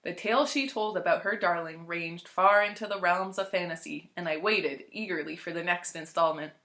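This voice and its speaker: A woman reading a story aloud as narrator, in a measured, expressive, clearly phrased way. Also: fairly low pitch. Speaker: library storytime reader.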